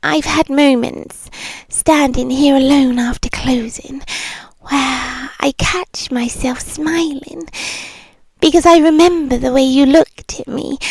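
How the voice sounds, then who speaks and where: British woman acting as a teasing yandere bartender girlfriend for ASMR roleplay; high, very slow, breathy and whispery, close-miked. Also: no echo, full character voice. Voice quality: breathy, often dropping into whisper. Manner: slow, teasing, lingering pauses. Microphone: close-up ASMR microphone.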